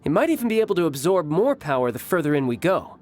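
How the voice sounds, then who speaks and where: A man playing a suave young warrior in an anime game, smooth, theatrical and playfully teasing. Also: on the high side, sing-song, quick pace, darkish tone, faint music behind. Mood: curious, genial.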